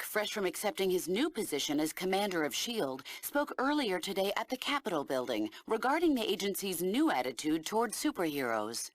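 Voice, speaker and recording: A poised female news anchor, played by an actress in a video game. She reads formally, with lively intonation at a medium pitch. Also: lightly acted. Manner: polished, formal. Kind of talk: scripted news report in a game.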